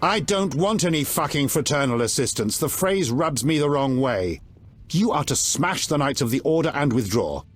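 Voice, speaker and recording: An actor playing a gruff, foul-mouthed, domineering middle-aged king in a video game, with a blunt, earthy authority. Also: fairly high pitch, lively intonation, normal speed, faint music behind. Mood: irritated.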